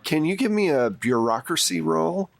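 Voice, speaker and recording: A man's voice: fairly high pitch, animated, normal speed, vocal fry, no echo. A man running a tabletop game as game master, narrating and explaining in a casual, conversational way.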